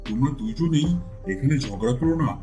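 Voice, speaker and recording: Cartoon village squire, male performer: authoritative, questioning, very animated intonation, faint music underneath. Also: medium pitch, fairly quick, natural voice. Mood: stern. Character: genial, authoritative village landlord. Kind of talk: cartoon folk tale acting.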